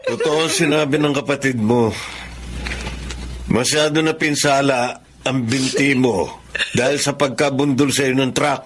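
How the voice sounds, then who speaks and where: An actor playing a callous, bossy husband in a radio drama, with a Filipino accent and a curt, impatient manner. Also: male voice, medium pitch, fairly even intonation, measured pace, pauses often, close to an everyday voice. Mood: matter-of-fact. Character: callous, domineering husband who drinks with buddies. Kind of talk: Tagalog radio drama acting. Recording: faint background noise.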